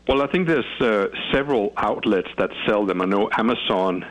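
A man from Denmark with a Danish accent, answering interview questions as a guest author in a polite, unhurried way. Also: fairly low pitch, highs cut off.

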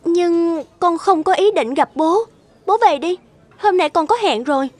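A woman voicing an emotional, stubborn young woman in a cartoon, expressive and emphatic. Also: very high-pitched, measured pace. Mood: cold. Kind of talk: animated cartoon dialogue.

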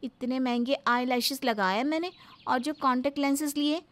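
Woman acting a shrill, scheming young daughter-in-law. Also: fairly even intonation, moderate pace, fairly bright tone. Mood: sly, annoyed. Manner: exaggerated, dramatic. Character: scheming, self-centred young daughter-in-law, theatrically sweet.

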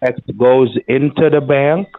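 A man on a phone call delivering a formal, scripted prize pitch in an overly reassuring manner. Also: takes it slowly, no echo. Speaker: phone scammer. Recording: tinny phone line.

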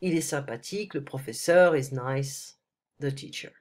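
Woman, deep voice, stuffy cold, patient tutor, screen-recorded lesson. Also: normal speed, darkish tone. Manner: switches between French and English, explaining patiently with frequent "ok?". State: has a cold, stuffy nose. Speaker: French tutor. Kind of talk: one-on-one screencast language lesson. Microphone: computer mic, voice-over screen recording.

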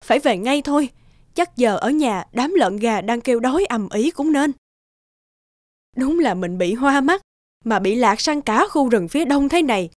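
A woman voicing a poor, weary, self-sacrificing village mother in a children's folk-tale cartoon, gentle and plaintive. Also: a little high, lively intonation, moderate pace, no room sound, studio quality, close to an everyday voice. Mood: weary, talking to herself. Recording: music behind the voice.

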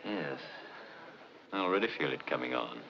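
An actor playing a suave secret lover in a 1950s film, smooth-talking and drily sardonic. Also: male voice, a bass voice, animated, normal speed, noisy background, exaggerated voice. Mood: dry, nonchalant. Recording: muffled old film soundtrack, music behind.

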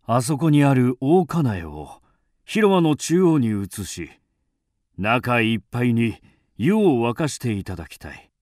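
An actor playing a mysterious, fearless undead avenger in a radio drama, speaking in a grim, theatrical, archaic manner. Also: male voice, medium pitch, sing-song, a little slow, a little mellow, dry recording, studio quality. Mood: courteous, guarded. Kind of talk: Japanese radio drama acting.

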